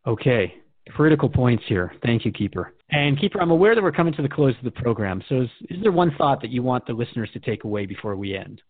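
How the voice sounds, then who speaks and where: A man's voice: mid-range voice, big pitch swings, quick pace, no room sound. Male radio host on a phone-like line. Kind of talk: interview in a radio drama. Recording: dry, narrow phone-like band.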